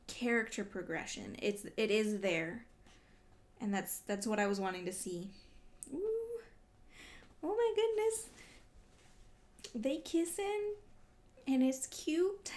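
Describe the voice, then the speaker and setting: A woman doing a casual read-along reaction as a vlogger, talking in an exclamatory, emotionally invested way. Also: medium pitch. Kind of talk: casual reaction commentary while reading.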